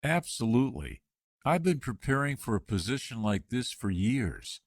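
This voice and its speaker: A man playing a job candidate in a scripted practice interview for English learners, reading clearly. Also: mid-range voice, very animated, normal speed, dry recording, clean studio sound.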